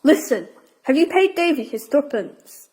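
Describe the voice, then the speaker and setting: Young victorian girl, highish voice, naughty and curious, chatty audio drama style. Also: very animated, measured pace, darkish tone. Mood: bantering. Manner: informal, short playful retorts.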